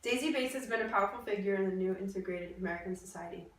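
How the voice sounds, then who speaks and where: A woman's voice: mid-range voice, rapid-fire. A woman anchors a news programme from a script in a mid-range voice. She is far from the microphone, and the room echoes very strongly around her.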